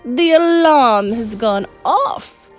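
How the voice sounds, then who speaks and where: A theatrical witch leader from a storybook, voiced by a woman, who drips false sweetness and then barks shrill orders. She stretches her words slowly and pushes them loud and high. Faint music plays behind her. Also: big pitch swings, exaggerated voice. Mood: gloating. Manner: syrupy sweet turning to shrill commands. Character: menacing female witch leader, scheming and theatrical.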